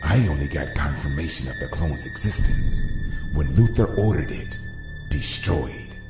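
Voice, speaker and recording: Very deep, gravelly cartoon crime boss. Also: male voice, animated, reverberant, exaggerated voice. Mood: boastful, calculating. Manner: slow, deliberate, theatrical. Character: menacing adult male crime boss, smooth and scheming. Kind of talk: comic-book cartoon villain acting. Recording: music behind the voice. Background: music.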